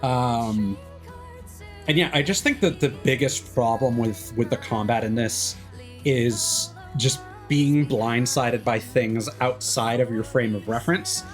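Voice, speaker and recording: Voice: medium pitch, takes it slowly, faint music behind. A video game streamer giving casual, analytical commentary, rambling and often trailing off mid-thought. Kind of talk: casual livestream commentary while gaming.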